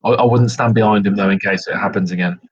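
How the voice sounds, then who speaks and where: A man playing a sheepish, deadpan adventurer in a tabletop game, making understated excuses for a friend. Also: fairly low pitch, quick pace, highs cut off, a crowd in the background, close to an everyday voice.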